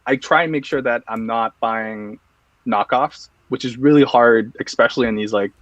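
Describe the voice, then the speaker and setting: A man's voice: medium pitch, normal speed, no echo, highs cut off. A man guesting on an interview, talking casually and loosely with lots of restarts and fillers. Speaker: guest, EDC accessory reviewer.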